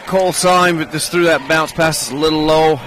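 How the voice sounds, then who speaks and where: A man's voice: fairly high pitch, steady tone, fairly quick, a bit bright, faint music behind, low-quality recording. Man breaking down basketball plays as color analyst. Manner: coach-like breakdown of plays and spacing. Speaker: color commentator. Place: gym at a high school basketball game. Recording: game broadcast with gym noise. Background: crowd and court noise of the game.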